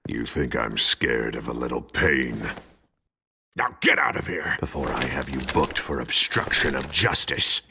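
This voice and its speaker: Cocky game heavy: low, gravelly, sneering, with lively intonation; loud noise behind. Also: male voice, a little slow, full character voice. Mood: defiant. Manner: sneering, taunting. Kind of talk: cinematic video game acting.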